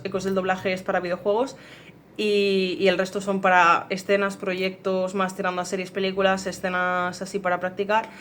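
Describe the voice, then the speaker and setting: A woman's voice: medium pitch, a little hiss or hum. A woman talking casually about herself as an amateur voice actor. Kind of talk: casual interview testimonial.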